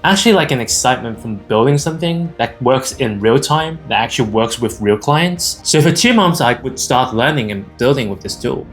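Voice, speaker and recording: A man's voice: mid-range voice, animated, normal speed, few pauses. A man in his twenties from Hong Kong, telling his personal story to camera in a candid, conversational and informal way. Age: 20s. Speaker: video creator and AI agency founder. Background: acoustic guitar music.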